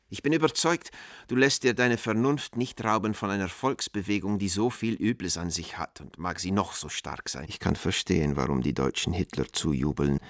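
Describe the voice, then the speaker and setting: An actor playing an anguished man reading his letter to an old friend in a radio drama, earnest, pleading and measured. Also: sits low, dry recording, clean studio sound, lightly acted. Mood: hopeful, urgent.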